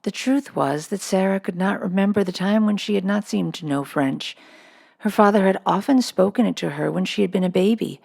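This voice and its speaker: A woman's voice: mid-range voice, creaky, darkish tone. A woman reading a children's novel aloud as scripted storybook narration. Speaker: storybook reader.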